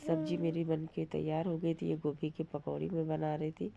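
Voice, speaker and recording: A woman with a hoarse voice from a cold, giving a plain, rambling, casual voice-over for a home cooking vlog. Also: deep voice, flat delivery, moderate pace. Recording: dull lo-fi sound, light background noise.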